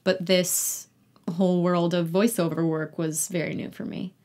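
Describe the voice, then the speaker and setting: Young female guest, lowish pitch, casual conversational interview tone. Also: flat delivery, moderate pace, darkish tone. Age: young adult. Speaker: guest, actor and singer.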